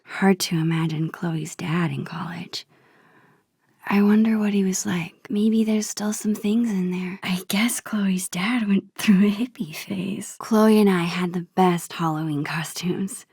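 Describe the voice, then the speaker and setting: A woman playing a soft-spoken, wistful, nerdy young girl in a video game, musing to herself in a breathy, hushed, understated and dryly playful way. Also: mid-range voice, fairly even intonation, a little slow, no room sound, studio quality. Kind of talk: video game character monologue.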